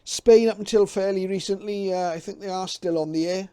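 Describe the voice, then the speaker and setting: A middle-aged man with a soft-edged, mellow voice that sits slightly high. He talks informally and unscripted, in a very level tone, pausing and hesitating like a hobbyist thinking back as he presents. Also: steady tone, clean tone.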